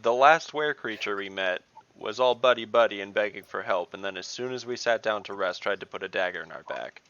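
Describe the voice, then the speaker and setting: A man takes part in a tabletop role-playing game as a player. His voice is lowish and bright, with a clear creak in it. He speaks casually and directly, often questioning. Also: a little fast, muffled recording.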